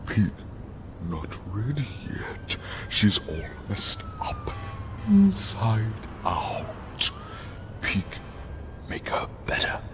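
A man voicing a deranged, menacing masked butcher villain in breathy, raspy, broken muttering that slips into whispers. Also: fairly low pitch, wildly swooping pitch, very slow, long pauses, background noise, full character voice. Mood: frantic, pleading.

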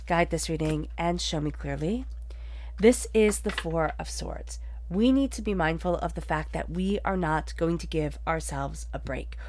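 A woman with a fairly low, slightly stuffed-up voice guides a spiritual tarot reading. She speaks earnestly and conversationally, circling back over phrases for emphasis. The sound is dry, with very little room on it. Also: a little mellow, no room sound. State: congested from allergies. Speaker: tarot reader.

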